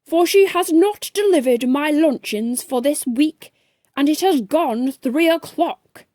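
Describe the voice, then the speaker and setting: Woman voicing a pompous, shouty posh gentleman biscuit. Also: high-pitched, expressive, slow pace, no echo, voice pushed up. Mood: furious. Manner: pompous, blustering, clipped upper-class phrasing. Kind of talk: children's storybook character voice.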